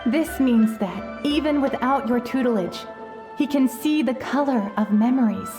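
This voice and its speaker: Gentle, high-pitched wise shaman woman. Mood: serene. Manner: calm, measured, explanatory. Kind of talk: video game voice acting. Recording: prominent music behind the voice.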